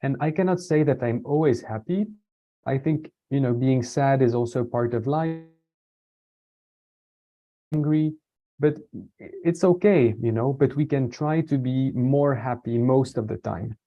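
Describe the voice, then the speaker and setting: A man from France giving a casual online talk, relaxed and hesitant, with many fillers and restarts. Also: medium pitch, measured pace. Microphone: computer mic on a video call. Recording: dull lo-fi video call audio.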